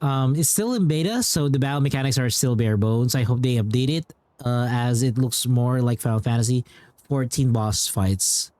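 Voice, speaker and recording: A man's voice: mid-range voice, normal speed, darkish tone, no room sound. Rambling male game streamer, dry close sound. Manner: rambling, repeats phrases, informal. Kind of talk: casual livestream chat and commentary.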